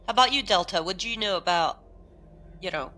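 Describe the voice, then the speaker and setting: Woman playing a bright, sceptical crew member. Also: medium pitch, brisk, slight background noise, close to an everyday voice. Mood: impatient. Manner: direct, probing. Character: impatient, sceptical female team member questioning AIs.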